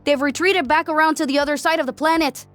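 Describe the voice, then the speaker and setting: A woman voicing a battle-hardened female army sergeant in an audiobook, with a forceful, brisk military manner. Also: high-pitched, fairly even intonation, faint music behind, pitched above the performer's own voice. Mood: urgent report.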